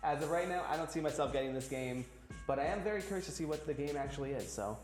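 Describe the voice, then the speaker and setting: A man's voice: fairly high pitch, lively intonation, fast talker, darkish tone, echoey room, faint music behind. A young man co-hosting gaming news in a loose, jokey manner with fillers. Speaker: news co-host. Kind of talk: casual news banter.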